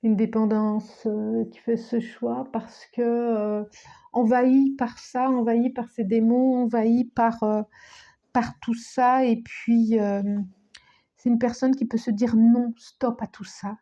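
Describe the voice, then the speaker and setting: A woman doing a tarot reading, talking very slowly with a mellow, darkish tone. She has a cold, so her voice is a little stuffy, and her pitch sits somewhat high for a woman. She often repeats herself and trails off into near-whispers. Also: little pitch movement, dull audio. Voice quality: slow, searching, many restarts and repetitions. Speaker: tarot card reader.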